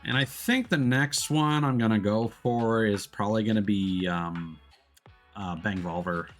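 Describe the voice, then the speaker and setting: A man's voice: fairly low pitch, a little slow. A man giving casual live gameplay commentary as a streamer, musing to himself and talking to the game. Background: game music.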